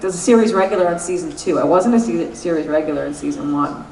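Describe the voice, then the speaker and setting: New York woman, frank and salty storyteller, low-ish voice, distant echoey recording. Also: quick pace, vocal fry, a little mellow, a little hiss or hum. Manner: candid, swears freely, laughs while telling stories. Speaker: guest actress. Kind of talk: casual interview at a convention. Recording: echoey convention room, mic at a distance.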